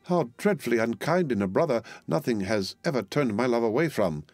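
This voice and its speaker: A man's voice: medium pitch, lively intonation, brisk, a little mellow, no echo, lightly acted. A man voicing a tearful, loving English woman, a doting sister, in a wailing, dramatic manner for a storybook. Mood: mournful, reproachful. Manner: wailing, mournful, exclamatory.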